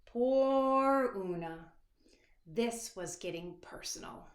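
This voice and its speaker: A woman author reading a children's picture book aloud expressively, with dramatic hushed moments and asides to young listeners. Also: a little high, animated. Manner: dramatic, dropping to hushed asides and stretched words; pauses to address young listeners with questions. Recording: echoey, reverberant room.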